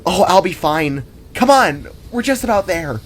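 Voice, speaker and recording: Man doing an excitable, squealing young wife. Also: sits high, normal speed, voice pushed up. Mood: giddy, urging. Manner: urgent, squealing, exclamatory. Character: excitable, giddy, pregnant young wife, bossy and affectionate. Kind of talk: audio drama character acting.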